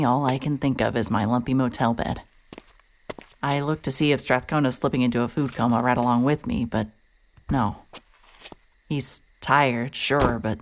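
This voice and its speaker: Very low-voiced, sardonic female detective. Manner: dry, sardonic, pressing. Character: hard-hearted female private detective, sardonic and stubborn. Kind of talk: cinematic audio drama acting with first-person noir narration.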